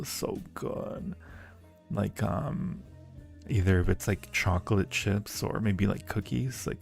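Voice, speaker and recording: A man's voice: lowish voice, gravelly, a little mellow. A man hosting a live-stream Q&A, answering viewer questions casually with a playful, teasing and flirty manner. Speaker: audio roleplay creator hosting a live Q&A.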